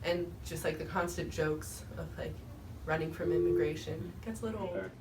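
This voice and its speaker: A woman's voice: deep voice, steady tone, normal speed. A teenage girl talks in a casual, chatty way, full of little fillers, while speaking on a school panel. The mic sits at a distance, and a light hiss runs underneath. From United States. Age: teens. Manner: chatty, many likes. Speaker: student panelist. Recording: distant mic, faint noise.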